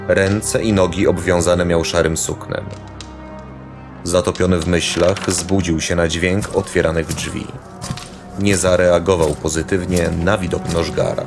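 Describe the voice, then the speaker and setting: A man narrating a scripted dark fantasy audio drama as the storyteller. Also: low-pitched. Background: music.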